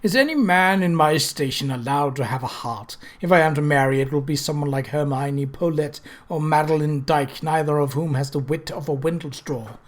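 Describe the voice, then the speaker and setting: Guy doing a sulky young posh gentleman from a storybook, kinda high voice, wry and sighing. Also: normal speed, hardly any pauses, lightly acted. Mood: bitter, gloomy. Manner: wry, sighing, theatrical upper-class phrasing. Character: petulant, self-pitying young English gentleman of the gentry.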